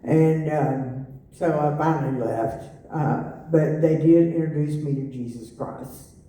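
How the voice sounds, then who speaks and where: A middle-aged woman giving a personal testimony, halting with many fillers and restarts. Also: low-pitched, warm tone. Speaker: testimony speaker. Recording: echoey room, voice from far off.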